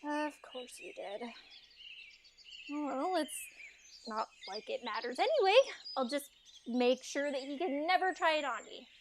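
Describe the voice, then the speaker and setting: A woman as a high-voiced sarcastic fairytale heroine. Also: animated, moderate pace, background noise. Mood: irritated, dismissive. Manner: sarcastic, modern casual speech. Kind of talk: audio drama acting.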